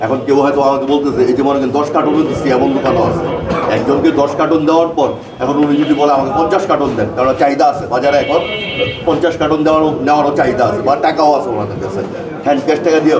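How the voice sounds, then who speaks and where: Rapid-talking Bangladeshi male oil dealer. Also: on the high side, steady tone. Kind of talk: unscripted explaining to inspectors. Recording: echoey, distant, crowd around.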